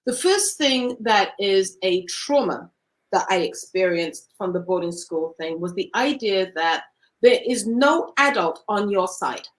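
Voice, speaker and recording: A woman's voice: mid-range voice, animated, a little slow, rough recording. A woman from Cameroon, an interview guest telling her story in an earnest, reflective way.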